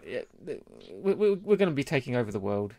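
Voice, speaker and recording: A man's voice: medium pitch, sing-song, brisk, darkish tone, no room sound. A man with a British accent, chatting casually as co-host of a beer podcast.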